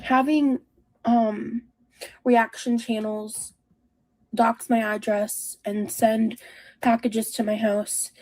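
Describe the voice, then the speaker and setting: A woman in her thirties speaking in a halting, self-reflective way, as in a personal confessional vlog. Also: a little high, flat delivery, a little mellow, low-quality recording. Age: 30s.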